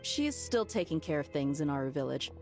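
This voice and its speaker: Polite curious merc girl in a game, lowish but lively voice, friendly. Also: fairly quick, soft background music. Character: friendly, curious, polite young woman desert mercenary. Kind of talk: scripted video game voice acting.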